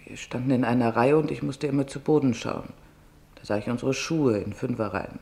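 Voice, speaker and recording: Man voicing an earnest woman survivor, creaky. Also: mid-range voice, fairly quick, a little mellow, close to an everyday voice. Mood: sorrowful reflection. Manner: earnest, vivid recollection. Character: anguished Dutch woman survivor recounting camp memories. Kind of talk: audiobook testimony narration.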